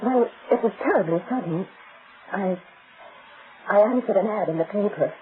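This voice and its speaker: A woman's voice: medium pitch, normal speed, natural voice. Woman acting worried lonely wife, plaintive, earnest, melodramatic old radio play, muffled, noisy recording. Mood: anxious, defensive. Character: anxious, lonely, gullible newlywed wife in her thirties.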